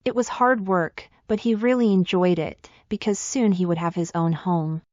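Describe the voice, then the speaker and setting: A woman's voice: on the low side, moderate pace, darkish tone, dry recording, highs cut off. Dry-sounding woman storyteller. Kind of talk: scripted children's fairy tale narration.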